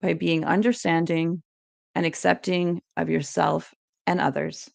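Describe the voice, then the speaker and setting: A woman's voice: on the low side, flat delivery, unhurried, gravelly, no echo. A woman giving an educational presentation, reading encouraging tips in a scripted, list-like way.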